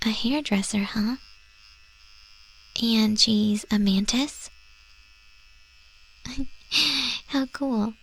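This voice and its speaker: A woman voicing a friendly goth moth monster-girl tattoo artist in an ASMR roleplay, chatting gently in a soft, breathy, often whispered voice. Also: a little high, drawn-out words, long pauses, exaggerated voice, poor audio. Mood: curious, chatty. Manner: gentle, casual, chatty, reassuring. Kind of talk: ASMR roleplay, spoken to the listener. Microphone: close microphone.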